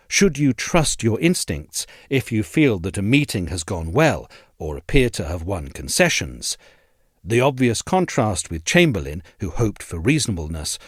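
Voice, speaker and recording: A man reading a scripted historical essay in a measured, articulate manner. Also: on the low side, no room sound, studio quality.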